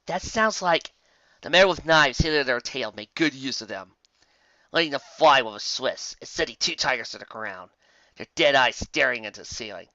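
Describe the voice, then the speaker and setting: Story narrator, expressive and dramatic, forceful tone, wide pitch movement, dry lo-fi close recording. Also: brisk, no room sound. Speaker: fan fiction reader.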